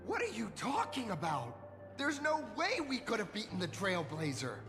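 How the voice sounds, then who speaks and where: High, creaky, hesitant young rookie hero. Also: male voice, wildly swooping pitch, normal speed, full character voice. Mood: incredulous. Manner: earnest, hesitant, humble. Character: earnest, modest, self-doubting young rookie hero, reformed delinquent. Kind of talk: animated game voice acting. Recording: long reverb, clear music behind.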